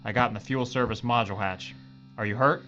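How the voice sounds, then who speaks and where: A man's voice: medium pitch, fairly even intonation, fast talker, sharp tone, close to an everyday voice. Man playing a wisecracking spaceship engineer, slightly muffled. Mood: matter-of-fact. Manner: folksy, joking, casual. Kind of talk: science-fiction audio drama acting. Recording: dull lo-fi sound, faint music.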